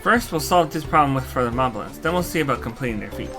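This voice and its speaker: A man giving relaxed, casual commentary while playing a game, musing to himself. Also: mid-range voice, fast. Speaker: let's-play streamer. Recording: game music behind.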